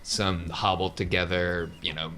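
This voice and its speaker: A man's voice: low-pitched, level tone, unhurried, bright, forceful tone, soft background music. A man talking casually as the game master of a tabletop roleplaying session, describing scenes to the players.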